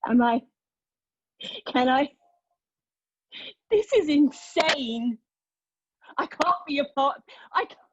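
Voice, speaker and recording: Actress as a defiant, halting woman, muffled recording. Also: high-pitched, animated, no echo. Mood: agitated, defiant. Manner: emotional, halting, self-interrupting, outspoken. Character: defiant, agitated woman arguing with a machine, giving personal testimony. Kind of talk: table read of a play, dramatic monologue.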